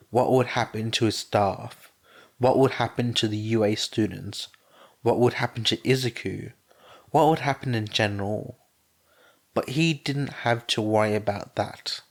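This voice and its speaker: A man with a hoarse, sore voice reading fan fiction aloud as a podfic narrator, then chatting casually with his listeners. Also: on the low side. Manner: plain, steady reading with a strained, sore voice. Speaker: podfic reader.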